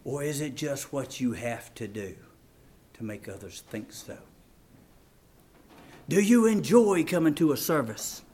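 A man preaching a fervent, folksy evangelical sermon, swinging between shouts and hushed appeals. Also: medium pitch, animated, unhurried, long pauses. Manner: folksy, plain-spoken grammar with rhetorical questions.

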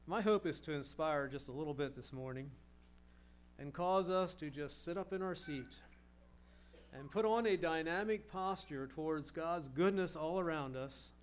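A middle-aged man preaching a sermon, earnest, asking rhetorical questions and often repeating words as he goes. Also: on the high side.

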